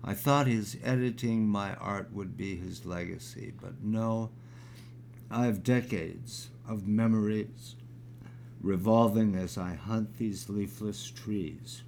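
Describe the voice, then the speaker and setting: Gravelly, slow older man in his sixties. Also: lowish voice, darkish tone, slight background noise. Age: 60s. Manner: halting with fillers when talking, measured when reciting. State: crackly, gravelly voice. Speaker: poet. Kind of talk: reading his own poem aloud.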